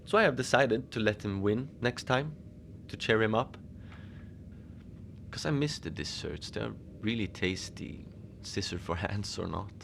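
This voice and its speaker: A man playing an easygoing, whimsical lone Antarctic station manager, rambling in a conversational, deadpan audio-fiction monologue. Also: on the low side, unhurried, creaky, slight background noise, heavily acted. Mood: deadpan, confiding. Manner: musing, conversational, deadpan about absurd things. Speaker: fiction podcast narrator, lone facility manager at a polar station. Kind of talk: first-person audio fiction monologue, rambling radio log.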